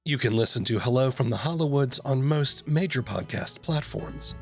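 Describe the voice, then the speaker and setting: A man's voice: medium pitch, moderate pace, over music. A man hosting an interview podcast, moving between questions and scripted narration.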